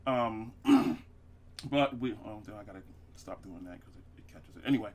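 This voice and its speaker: A man's voice: lowish voice, normal speed. A man hosting a casual comedy podcast, rambling with many fillers and restarts and laughing at his own jokes. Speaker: podcast host.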